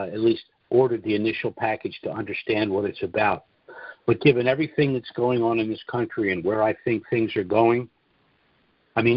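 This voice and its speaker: A man hosting a conference call, delivering an informal monologue briefing in deliberate phrases with emphatic pauses and a husky voice. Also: on the low side, no echo. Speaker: conference call host and presenter. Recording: dull, lo-fi conference call audio.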